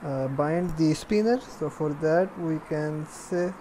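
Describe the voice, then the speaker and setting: A woman with a fairly low voice presents a programming screencast at a very slow pace. She speaks in short, halting pieces, walking through each step. Faint noise sits behind her. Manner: halting, piecemeal phrasing while typing. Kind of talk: step-by-step screencast narration of coding.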